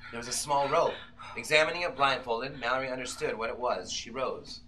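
A man in his thirties narrating a novel as a suspenseful old-time radio play, with deliberate, dramatic phrasing. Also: medium pitch, slight background noise, low-quality recording. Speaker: author reading his own novel. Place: magazine-lined shop, indoors, small audience. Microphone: camera in the audience, some distance away. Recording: live shop performance under loud music. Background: live violin and keyboard music.

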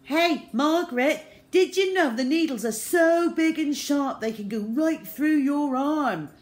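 A woman's voice: lowish voice, animated, takes it slowly. A woman voicing a horrid young boy who is scared yet defiant and scornful, in a children's story. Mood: taunting. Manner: pleading, boastful, bratty.

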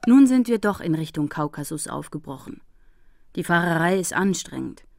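An actress playing a determined female investigator in a crime audio drama, narrating like a diary in a brisk, matter-of-fact way. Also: on the low side, lively intonation, vocal fry, a little mellow, a little hiss or hum. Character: determined female investigator reporting on her travels.

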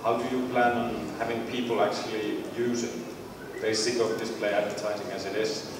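A man's voice: on the low side, flat delivery, fairly quick. A man on a jury putting critical questions to presenters. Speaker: jury member asking questions. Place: indoor event room. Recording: echoey hall from far off, noisy.

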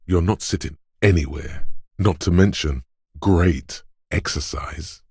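An actor voicing a gruff, macho inner voice of physical strength for a video game, like a drill coach, blunt, taunting and commanding, with a gravelly, husky tone. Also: male voice, sits low, slow pace, a little mellow, no room sound, clean studio sound, heavily acted. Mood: blunt, goading.

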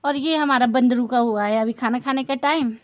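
A woman's voice: high-pitched, normal speed, dry recording. A woman, chatting casually as a family vlogger into a handheld phone. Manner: addresses viewers and family directly. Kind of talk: casual vlog chat. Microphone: phone at arm's length. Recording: handheld phone with background noise.

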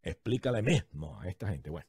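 A man in his thirties with a Venezuelan accent tells a story on his podcast with lively, joking energy. His voice sits low and mellow with a husky edge. The sound is dry and close, from a large studio mic in a small home studio. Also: brisk, no echo. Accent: Venezuelan Spanish. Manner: lively, jokey, acts out the people in his story with big gestures. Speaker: podcast host. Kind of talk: animated storytelling monologue. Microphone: large studio condenser mic, headphones.

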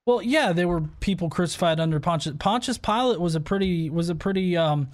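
A man in his thirties hosting a casual debate on a video call, halting and repeating words as he argues his points. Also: sits high. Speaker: host of an atheist debate show. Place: small furnished room at home, video call.

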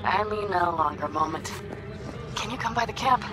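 A woman's voice: lowish voice, animated, moderate pace, music in the background, natural voice. An actress playing a headstrong, defiant young nomad woman in a video game, with an emphatic, sardonic delivery. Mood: hesitant, hopeful. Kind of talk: dramatic video game acting.

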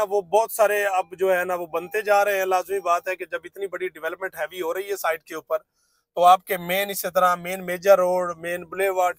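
High-voiced man touring by car. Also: dry recording. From Pakistan. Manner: fluent salesman patter with filler phrases. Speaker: real estate vlogger. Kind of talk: narrated site-visit tour. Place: inside a moving car.

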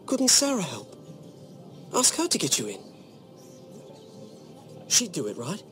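A man's voice: fairly high pitch, huge pitch swings, slow, fairly bright tone, soft background music, full character voice. A young man playing an earnest, loyal, secretive servant informant in a video game drama. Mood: earnest, urging.

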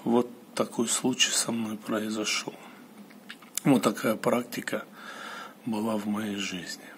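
A man tells a personal story in a solemn, halting way, breaking it into short phrases. His voice sits fairly low and stays very flat in pitch. Clear background noise runs under the recording. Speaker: storyteller recounting a mystical experience. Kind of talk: slow personal monologue.

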